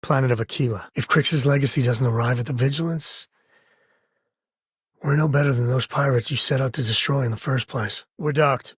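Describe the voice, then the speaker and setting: An actor playing a rugged, folksy space-frontier ranger and devoted father in a video game, with a husky voice and an easygoing, sincere way of talking. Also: mid-range voice, dry recording, studio quality. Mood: matter-of-fact. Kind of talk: acted game companion dialogue.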